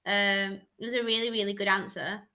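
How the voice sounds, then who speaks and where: Woman, English tutor, encouraging, chatty, bright clear tone. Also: mid-range voice, fairly even intonation, fairly quick. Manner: encouraging, chatty, lots of "like" and "just". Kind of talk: giving feedback on a video call.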